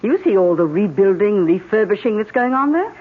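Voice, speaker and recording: A woman's voice: medium pitch, big pitch swings, a little fast. An actress playing a breezy, dry British aristocratic woman in a 1970s radio drama, dismissive and gossipy. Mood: breezy, wry. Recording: tinny old radio, music underneath.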